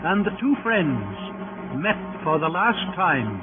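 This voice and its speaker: A man narrating an old radio drama in a formal, deliberate, scripted manner, phrase by phrase. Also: high voice, big pitch swings. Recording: muffled old radio recording, music behind.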